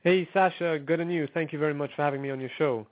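A man's voice: a little high, steady tone, quick pace. A man, a musician talking casually as a guest in an upbeat, rambling way with many fillers. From Trinidad and Tobago. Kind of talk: casual phone interview. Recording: tinny phone line.

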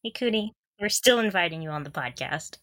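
A woman's voice: on the low side, lively intonation, brisk, a bit bright, dry recording. A woman co-hosting a casual fan podcast, bantering in a mock-serious, giggly way. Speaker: podcast co-host.

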